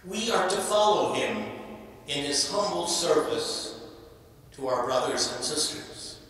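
An older man, an archbishop, preaching a sermon slowly and deliberately from a lectern microphone in a large cathedral. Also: a little high, expressive, fairly bright tone. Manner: slow, measured preaching with long pauses. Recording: echoey cathedral from far off.

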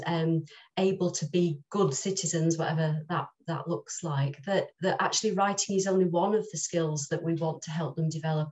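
A woman's voice: on the low side, darkish tone, poor audio. A middle-aged woman giving a thoughtful, tentative comment in a university seminar over a video call. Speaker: university staff member, seminar participant. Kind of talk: reflective spoken seminar comment. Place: home room with bookshelves, on a video call. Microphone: computer mic on video call.

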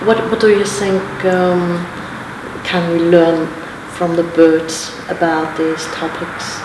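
A woman's voice: on the low side, little pitch movement, slow. A middle-aged woman, asking interview questions in careful, hesitant phrases. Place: large high-ceilinged church exhibition space, indoors. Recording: echoey room with clear background noise.

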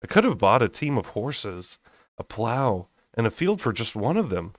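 A young man narrating a fantasy story aloud with expressive, slightly unrehearsed delivery. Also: mid-range voice, normal speed, no room sound. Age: young adult. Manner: unrehearsed, expressive, occasional stumbles. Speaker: amateur audiobook reader. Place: small bedroom, indoors.

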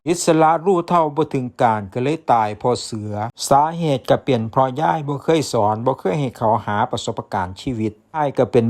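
A man tells a folktale in an Isan accent, his voice of medium pitch. He narrates in a folksy way, talking directly to listeners, with music clearly playing behind him. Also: a little mellow. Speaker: folktale storyteller.

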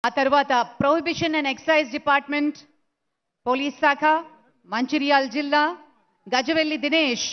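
A woman announcing recruits' names one by one at an award ceremony, in a slow, measured way. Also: high voice, fairly even intonation. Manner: slow, measured name-calling into a PA. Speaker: event announcer. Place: large stadium ceremony. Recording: dull lo-fi broadcast sound.

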